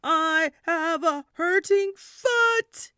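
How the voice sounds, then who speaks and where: A woman voicing a cranky little girl on a bad day, shrill and shouty in her protests. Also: extremely slow, no room sound, studio quality, full character voice. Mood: shouty whining.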